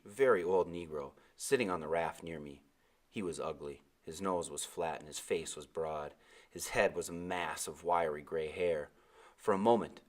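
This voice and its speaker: A male read-aloud narrator with a lowish voice. He delivers scripted first-person adventure fiction in an expressive storytelling style.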